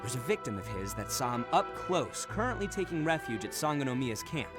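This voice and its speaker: An actor playing a brash, big-hearted oni brawler in a video game, talking loudly and laughing often. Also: male voice, medium pitch, big pitch swings, brisk, music in the background, natural voice. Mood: easygoing. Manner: loud, laughing, swaggering but earnest.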